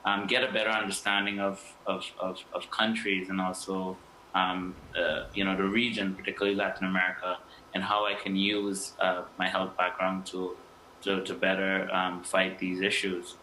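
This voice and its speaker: Man, low-ish flat voice, hesitant fillers, roomy echo. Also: normal speed. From Guyana. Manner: hesitant, many fillers and restarts. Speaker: guest. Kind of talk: interview answers. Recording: echoey room with faint noise.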